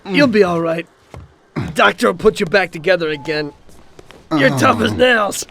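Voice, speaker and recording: An actor playing an earnest, loyal mafia driver in a video game, speaking plainly. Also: male voice, high voice, animated, moderate pace, vocal fry, fairly bright tone, heavily acted. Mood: strained, reassuring. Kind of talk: dramatic game cutscene acting.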